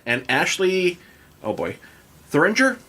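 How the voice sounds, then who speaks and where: A man's voice: high voice, very animated, unhurried, bright, forceful tone. An American man hosting a podcast, reading headlines and chatting casually. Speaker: podcast host. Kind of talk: casual news and banter podcast talk.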